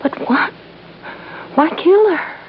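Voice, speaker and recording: A woman's voice: very high voice, expressive, slow pace, heavily acted. A woman playing a distressed, bewildered young heiress in an old-time radio drama. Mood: shocked, bewildered. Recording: muffled, noisy old radio recording.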